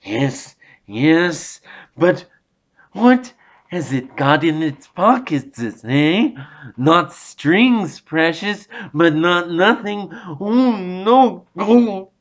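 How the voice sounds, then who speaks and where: A man's voice: high-pitched, expressive, extremely slow, voice pushed up, heavily acted. A man voicing a wretched, sly cave creature who hisses and wheedles, in a dull lo-fi audiobook recording. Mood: wheedling. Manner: hissing, wheedling, talks to himself. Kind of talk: audiobook reading.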